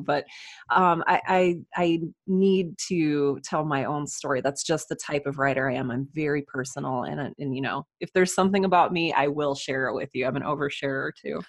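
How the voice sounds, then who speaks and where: A woman's voice: deep voice, no echo, clean studio sound. A woman speaking as a writer guest in a conversational interview, candid and self-deprecating.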